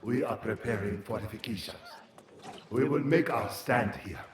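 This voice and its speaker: An actor playing a besieged soldier giving a grave, formal last account in a video game, solemn and resigned. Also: male voice, fairly low pitch, moderate pace, reverberant, noisy background, close to an everyday voice. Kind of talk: dramatic game log narration.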